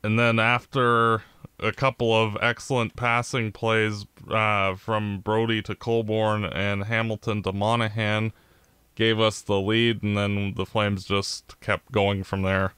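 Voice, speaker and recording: A low-pitched Canadian man talking slowly and deliberately, with a smooth, clear voice. He co-hosts a casual sports podcast and breaks down the game in a measured way. The sound is close and dry. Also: little pitch movement, bright, forceful tone, no room sound. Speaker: podcast co-host.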